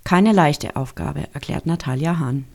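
Radio reporter, scripted narration, measured formal reading, middle pitch. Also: vocal fry.

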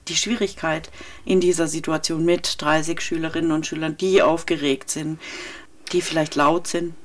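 A woman with a fairly low voice leads a radio interview. She talks conversationally and offers reflective prompts for her guests to pick up. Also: little pitch movement, normal speed. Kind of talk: radio interview, asking questions.

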